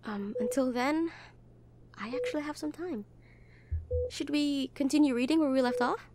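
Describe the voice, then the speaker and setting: A woman playing a caring, protective young hospital nurse in an intimate audio roleplay, speaking gently, conversationally and a little hesitantly. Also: sits high, normal speed, slight background noise. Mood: tender, soothing.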